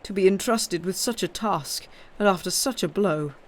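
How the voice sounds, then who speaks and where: Reader playing an anxious nobleman: urgent, stammering, a bit raised and loud. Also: mid-range voice, moderate pace, a little hiss or hum, voice pushed up, natural voice. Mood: concerned. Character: agitated nobleman, a magistrate, anxious. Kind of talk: audiobook character voice.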